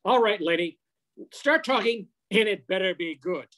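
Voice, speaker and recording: A man's voice: high voice, lively intonation, normal speed, fairly bright tone. An older man playing a slangy, exclamatory wisecracking fellow in an amateur old-time radio play. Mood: exasperated. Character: exasperated wisecracking working man caught in hoax. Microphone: computer on video call.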